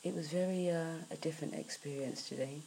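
Croaky-voiced woman musing softly. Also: low-pitched, slight background noise, poor audio. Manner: soft, unhurried, reflective, with many pauses and fillers. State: croaky morning voice. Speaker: spiritual podcaster. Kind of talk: spiritual podcast monologue.

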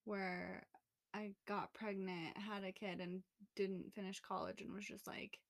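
A woman's voice: mid-range voice, level tone, unhurried, creaky. A young woman in her twenties co-hosting a casual podcast chat, telling a personal story in a candid, rambling way with many fillers. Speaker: podcast co-host.

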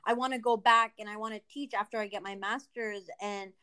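A woman's voice: mid-range voice, fairly even intonation, brisk, bright, forceful tone, smooth. A woman hosting a podcast, bubbly and enthusiastic, giggling as she interviews her guest. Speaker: podcast host.

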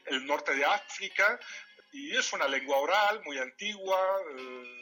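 A man's voice: fairly high pitch, expressive, measured pace, sharp tone, breathy edge, soft background music, rough recording. A Moroccan man whose first language is Amazigh, a novelist answering interview questions thoughtfully, with restarts and a habit of adding "¿eh?". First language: Amazigh (Riffian). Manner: thoughtful, hesitant with restarts, frequent "¿eh?" tags. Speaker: novelist guest. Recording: radio interview.

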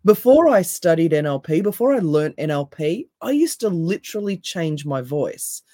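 Woman, deep raspy voice, mellow tone, emphatic coach, dry sound. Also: moderate pace, no room sound. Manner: emphatic, animated. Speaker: NLP coach and hypnotherapist, guest. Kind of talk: casual interview chat.

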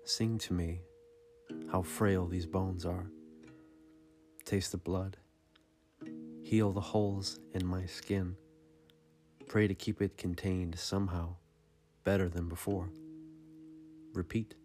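A man giving a dramatic, scripted reading of poetry in a somber, measured and deliberate manner. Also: low-pitched, level tone, darkish tone, soft background music. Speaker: podcast host reading poetry.

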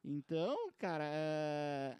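Podcast host, man with a high voice, conversational and musing, dry studio sound. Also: very animated, slow, darkish tone, smooth, dry recording. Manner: conversational, musing, frequent "cara" and "né". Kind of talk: casual chat.

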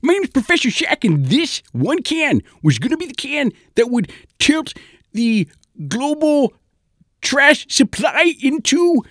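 Storybook role: frantic eccentric scientist, very high shrill falsetto, agitated and scolding. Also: male voice, very animated, slow, fairly bright tone, no echo, studio quality, heavily acted. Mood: urgent, scolding. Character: frantic eccentric male professor in a lab coat.

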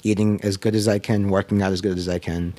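A man's voice: lowish voice, little pitch movement, fast talker, dark tone. A man, a painter talking reflectively in a casual interview, full of "like" and "um". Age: 30s. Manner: reflective, frequent "like" and "um" fillers. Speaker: painter guest. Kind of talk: casual conversation. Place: indoor studio with mic arms.

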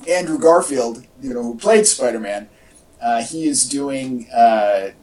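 A male podcast host chats casually at a middling pitch, rambling along with a wry, jokey streak. He sounds set back from the microphone, as in a homemade recording. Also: a little slow, darkish tone. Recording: distant mic, echoey in parts.

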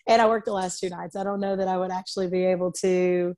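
A woman from Arkansas, a country singer, talking casually in an interview from her bed, her voice slightly raspy from singing two nights in a row, with lots of fillers and restarts. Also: lowish voice, flat delivery, quick pace, warm tone, dry recording, studio quality. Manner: chatty, many fillers and restarts. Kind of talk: casual interview over video call. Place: in bed at home.

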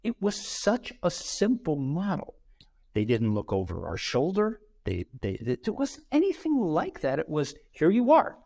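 Animated middle-aged man telling stories. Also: fairly high pitch, unhurried, vocal fry, no echo. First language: bilingual, Brazilian parents. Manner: animated, acts out quoted dialogue. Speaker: guest. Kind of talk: conversational interview storytelling.

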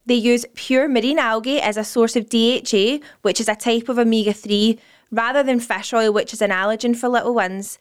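A woman's voice: a little high, steady tone, fairly quick, clear, resonant tone. A woman co-hosting a podcast, reading an upbeat scripted sponsor segment. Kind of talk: scripted ad read.

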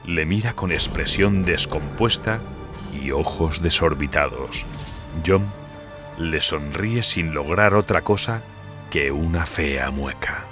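A man's voice: a bass voice, lively intonation, takes it slowly. A man narrating a horror audiobook in a dramatic, scripted storytelling style. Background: music.

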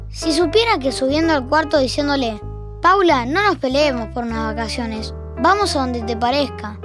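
A young-sounding performer with an Argentine accent, narrating a scripted audio drama as a wry storyteller. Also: high voice. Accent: Argentine Rioplatense. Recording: music behind the narration.

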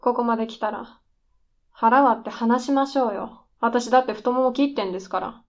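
Radio drama role, played by a woman: would-be female screenwriter at the end of her rope, higher-pitched, needling and defiant. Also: normal speed, darkish tone, natural voice. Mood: bitter, challenging. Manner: needling, intense, provocative polite speech. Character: desperate, defiant, lazy aspiring female scriptwriter. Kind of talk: radio drama dialogue acting.

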